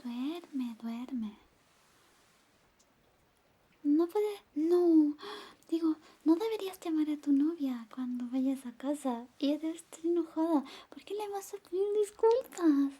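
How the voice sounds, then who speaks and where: A woman's voice: sits high, animated, slow, warm tone, pitched above the performer's own voice. A woman playing a clingy, jealous young best friend in a coaxing, whispered ASMR roleplay. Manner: coaxing, possessive, pleading.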